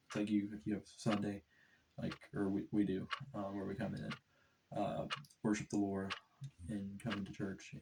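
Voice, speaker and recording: A man's voice: on the low side, distant mic, noisy background. A young man with a stuffed-up nose from a cold, rambling in a casual vlog with lots of fillers and restarts. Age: young adult. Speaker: vlogger.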